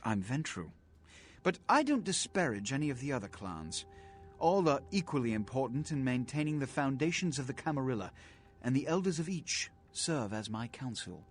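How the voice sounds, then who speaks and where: An actor playing a haughty, aristocratic vampire prince two centuries old in a video game, with a pompous, eloquent, clipped delivery. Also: male voice, mid-range voice, very animated, moderate pace, a little hiss or hum, lightly acted. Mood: proud, stately. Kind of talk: video game character dialogue.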